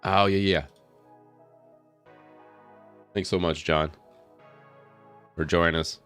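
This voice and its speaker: A man, slightly raspy from a head cold, talks casually on a live stream, thinking aloud as he works with lots of fillers and small laughs. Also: sits low, fairly even intonation, a little slow, fairly bright tone, soft background music. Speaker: tech streamer. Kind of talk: casual live stream talk while working on screen.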